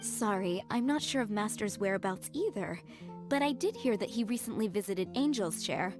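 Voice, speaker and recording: An actress playing a polite, formal and reassuring head maid in a video game. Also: female voice, mid-range voice, animated, normal speed, lightly acted. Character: courteous, composed female head maid of a winery. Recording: clear music behind the voice.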